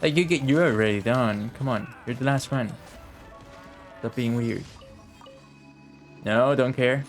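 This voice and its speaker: A man's voice: medium pitch, unhurried, long pauses, soft background music. A man giving casual, offhand live game commentary, swearing and muttering to himself as he plays. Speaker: game streamer.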